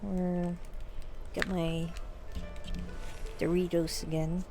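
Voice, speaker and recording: Creaky-voiced woman streaming casually. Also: lowish voice, level tone, drawn-out words, music in the background. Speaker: game streamer. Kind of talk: casual livestream chat.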